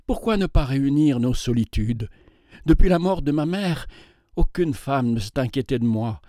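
A man's voice: mid-range voice, animated, moderate pace, dark tone, no echo, clean studio sound, close to an everyday voice. A man voicing an aging, gallant bachelor collector who pleads in an earnest, courtly, emphatic way.

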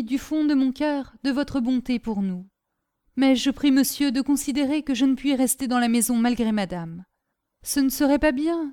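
A woman's voice: high voice, mellow, smooth, voice pushed up, close to an everyday voice. A woman voicing a humble, devout young servant in a storybook reading, with a trembling, deferential, earnest delivery. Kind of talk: audiobook character acting.

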